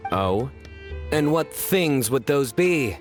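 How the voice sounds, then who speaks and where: A man voicing a serious, composed investigator in a video game, with a measured, deadpan delivery and dry humour. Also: medium pitch, soft background music. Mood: suspicious.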